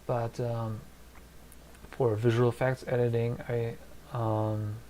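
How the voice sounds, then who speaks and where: A man's voice: medium pitch, flat delivery, takes it slowly, mellow, slight background noise. A man in his thirties from Germany with a German and Polish accent, chatting casually on a live stream from his desk and thinking aloud with many fillers. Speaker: streamer answering chat. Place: small home studio at a desk.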